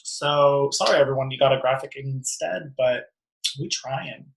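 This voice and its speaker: A man's voice: mid-range voice, unhurried, vocal fry, slight background noise. A young American man hosting a casual podcast over a video call, talking in a campy, sassy, slangy way. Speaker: podcast host. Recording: roomy echo on a video call.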